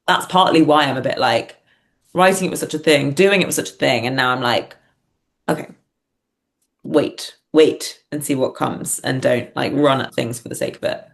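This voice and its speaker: A woman's voice: very deep voice, normal speed, vocal fry. A British woman talking as a podcast guest in a casual, reflective way, thinking aloud with some self-deprecation. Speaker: podcast guest, playwright and performer. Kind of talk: casual, reflective interview chat.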